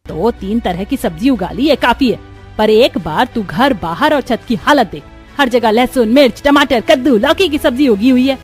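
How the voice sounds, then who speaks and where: A woman's voice: on the high side, animated, normal speed, faint music behind, close to an everyday voice. A woman voicing a stern, middle-aged mother-in-law in a cartoon moral story, emphatic and scolding.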